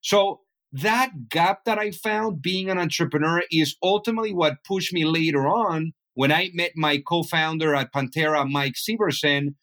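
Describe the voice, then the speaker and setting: A man's voice: on the high side, fairly bright tone. A male host speaking straight to listeners in a conversational ad break. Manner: conversational, addresses listeners directly. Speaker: podcast host. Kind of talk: direct-to-listener ad read.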